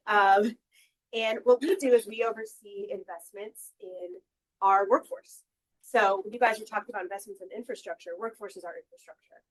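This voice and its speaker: Quick, slightly hoarse woman panelist. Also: mid-range voice, low-quality recording. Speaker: state workforce policy advisor, panelist. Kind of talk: conference panel introduction. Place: conference forum.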